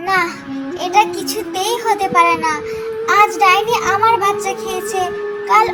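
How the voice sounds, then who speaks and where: A woman's voice: very high-pitched, steady tone, a little slow, over loud music, pitched above the performer's own voice. A woman voicing a loving, anxious mother bird in a cartoon, speaking emphatically and with feeling. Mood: shocked, determined.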